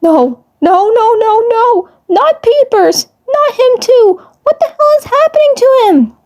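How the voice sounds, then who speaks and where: A woman voicing a terrified young cartoon boy who stammers and repeats frantic pleas in a shrill, strained voice. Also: very animated, measured pace, a little mellow, heavily acted. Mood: shocked, distraught.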